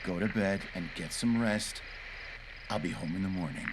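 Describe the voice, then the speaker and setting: A man's voice: low-pitched, normal speed, very noisy, lightly acted. A man playing a weary, grumbling ranger in a video game, muttering in a naturalistic way. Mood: weary, soothing.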